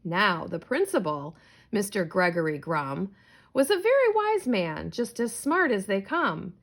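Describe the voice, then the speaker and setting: A middle-aged woman reading a rhyming children's book aloud in an expressive storytelling style. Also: mid-range voice, a little slow. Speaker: storybook reader.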